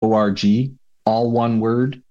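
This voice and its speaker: A man's voice: medium pitch, steady tone, takes it slowly, dark tone, muffled recording. A man explaining a research project in an earnest, explanatory way as an interview guest. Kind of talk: interview answers.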